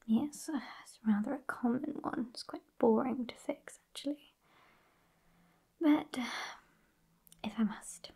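A woman in her twenties with a British accent playing a sassy, haughty witch in a breathy, unhurried ASMR whisper, close to the microphone. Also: a little high, mellow. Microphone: close microphone just off camera.

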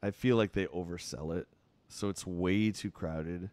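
A man's voice: low voice, steady tone, a little slow, a little mellow, dry recording. A middle-aged man with a Canadian accent, chatting casually with his chat while playing a game on a live stream at home. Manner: rambling, chatty, answers chat.

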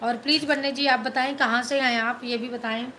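Middle-aged woman doing a phone livestream, bright voice, kinda high, keeps thanking ppl, slight background hiss. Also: steady tone, a little fast. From Madhya Pradesh, India. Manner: chatty, thanks viewers over and over, mixes English into Hindi. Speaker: live-stream host. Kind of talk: casual live-stream chat, reading and answering comments. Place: small room indoors. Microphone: phone held at arm's length.

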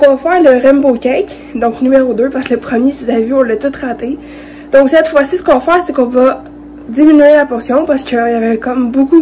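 A woman's voice: sits high, mellow, low-quality recording. A young woman with a Quebec French accent and a congested, cold-affected voice, giving an informal, hesitant cooking tutorial to camera. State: has a cold. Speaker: vlogger. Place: home kitchen. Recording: clear background noise.

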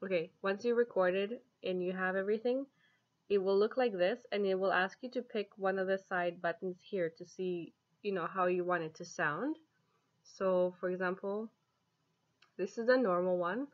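A woman's voice: mid-range voice, steady tone, moderate pace. A woman in her twenties chatting casually and giggly as she demonstrates an app in a vlog. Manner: giggly, amused. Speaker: app reviewer. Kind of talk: casual vlog chat to camera. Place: small home living room, indoors. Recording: dull lo-fi webcam sound.

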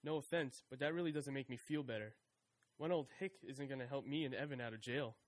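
A man's voice: medium pitch, fast talker, no echo, natural voice. Guarded young fugitive , played by a man. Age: young adult. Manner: guarded, sullen. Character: wary young man on the run.

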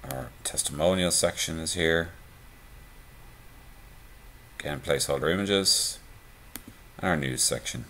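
A man giving a relaxed, conversational screencast walkthrough of a website theme, with frequent "uh" and "um" fillers. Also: very deep voice, normal speed, slight background noise. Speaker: theme tutorial presenter.

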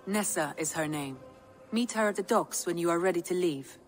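A woman playing a calm, caring Viking counsellor in a video game, composed and advising. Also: on the low side, moderate pace, soft background music, natural voice. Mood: concerned. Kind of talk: video game cutscene acting. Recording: faint background ambience.

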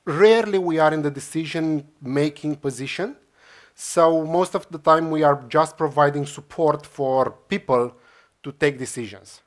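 A man's voice: on the high side, a little slow. Man giving a conference talk. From Romania. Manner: many "uh" fillers and restarts, explaining to a crowd. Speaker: conference speaker. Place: large lecture hall stage.

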